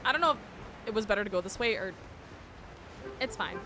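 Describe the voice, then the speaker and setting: A woman's voice: medium pitch, animated, brisk, noisy background. A woman streamer chatting casually as she reacts to a game. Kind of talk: casual gameplay reaction chat.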